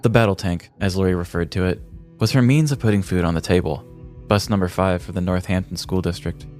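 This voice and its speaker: A man's voice: sits low, a little fast, a little mellow. An American man in his thirties reading scripted comedic story narration as a podcast host. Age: 30s. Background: faint music.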